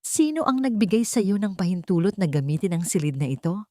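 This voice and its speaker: A woman's voice: mid-range voice, big pitch swings, fairly quick, dark tone, no echo, clean studio sound, natural voice. A woman voicing a stern, angry rich man in a story, speaking coldly, sharply and emphatically. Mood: cold anger.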